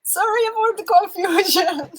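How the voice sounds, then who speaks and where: A woman's voice: extremely high pitch, moderate pace. A young woman with a Hungarian accent chatting on a live stream, thinking aloud with restarts and reading out viewer comments. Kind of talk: casual live-stream chat.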